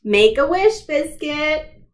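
Woman reading as a high-voiced, affectionate little girl. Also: slow. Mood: excited. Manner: animated, expressive read-aloud delivery. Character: cheerful, affectionate little girl, the puppy's owner.

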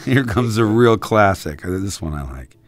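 A man's voice: lowish voice, animated, normal speed, lightly acted. An actor playing an amused studio man in a comic video game outtake, hesitant and appreciative.

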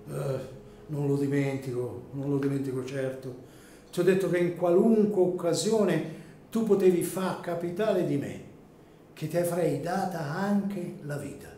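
A man playing a gruff, grudging older cemetery caretaker in a radio drama, with rustic, colloquial speech. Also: a little high, steady tone, unhurried, dark tone, a little hiss or hum, lightly acted. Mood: grudging, yielding. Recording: echoey room, music behind.

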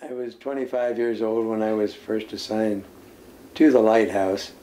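An older man reminisces about his years of service, seated in a room full of nautical keepsakes. His voice is warm and mellow, and he speaks in a calm, unhurried way. He pauses often to gather his thoughts and laughs softly now and then. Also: on the low side, poor audio. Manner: unhurried storytelling with many "uh" pauses and soft chuckles. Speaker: former lighthouse keeper, interviewee. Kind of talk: reminiscing interview answers. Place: indoor room lined with nautical memorabilia. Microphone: seated interview, camera at medium distance.

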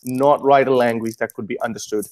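A man's voice: medium pitch, steady tone, a little fast, darkish tone. A man in his thirties whose first language is Urdu, hosting a heated debate over earphones on a video call. He stammers and repeats words. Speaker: host. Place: home kitchen, indoors. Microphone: earphone mic.

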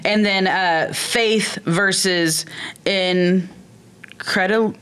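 A woman's voice: mid-range voice, steady tone, drawn-out words, fairly bright tone, clear, resonant tone. A woman hosts a casual interview in an encouraging, affirming way. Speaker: podcast host. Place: small indoor podcast studio. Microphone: close studio microphone on table stand.

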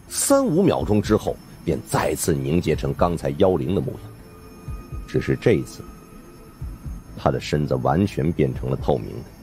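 A man's voice: deep voice, mellow. A man giving dramatic scripted narration of supernatural suspense fiction as an audiobook narrator.

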